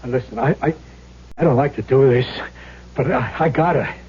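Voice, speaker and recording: Husky, stammering small-time crook. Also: male voice, mid-range voice, normal speed. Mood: anguished, hesitant. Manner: stammering, hesitant, apologetic. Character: nervous, weak-willed small-time crook, reluctant killer. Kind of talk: old-time radio drama acting. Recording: dull lo-fi old radio recording.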